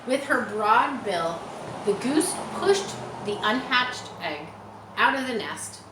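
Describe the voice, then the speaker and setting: A woman's voice: on the high side, lively intonation, slow. A woman reading a storybook aloud to children expressively, with explanatory asides. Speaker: storybook reader. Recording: echoey room, faint background noise.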